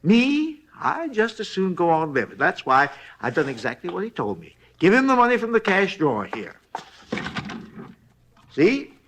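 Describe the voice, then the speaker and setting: An actor in a 1950s radio drama playing a talkative, folksy hotel night clerk who rambles in a rustic, ungrammatical drawl. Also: male voice, sits high, huge pitch swings, fairly bright tone, slight background noise, heavily acted. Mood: chatty, earnest. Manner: rambling, folksy, unhurried storytelling. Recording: vintage 1950s radio recording.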